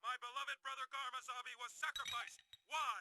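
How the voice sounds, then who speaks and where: A man's voice: very high voice, flat delivery, a little fast, background noise. An actor playing a fervent military ruler in a video game dub, declaiming grandly to rally his nation. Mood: fervent, defiant. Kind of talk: dramatic anime game dub oratory.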